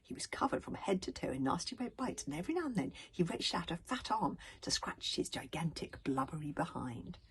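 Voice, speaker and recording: A woman reading a children's story aloud with animated expression, now and then stumbling over words. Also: on the low side, brisk, hardly any pauses, husky, whispered. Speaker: author reading own book.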